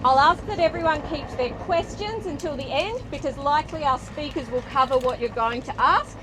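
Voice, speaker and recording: Loud high-voiced Australian woman outdoors. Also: moderate pace. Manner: loud projecting voice. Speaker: fire service public information officer chairing a meeting. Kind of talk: outdoor community briefing. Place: outdoors, near traffic. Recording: outdoor recording with loud background noise.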